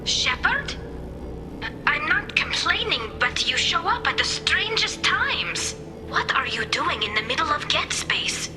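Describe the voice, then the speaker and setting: An actress voicing an earnest young female quarian engineer who speaks softly and hushed, then turns eager, in a video game. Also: sits high, sing-song, unhurried. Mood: surprised. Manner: soft, hushed and wondering, turning eager. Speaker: alien engineer ally. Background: game music.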